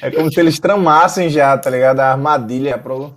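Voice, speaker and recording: A man's voice: medium pitch, fairly quick, a crowd in the background. A Brazilian man co-hosting a casual chat in slangy, colloquial speech. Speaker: podcast co-host. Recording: clear music bed behind the voice. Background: music.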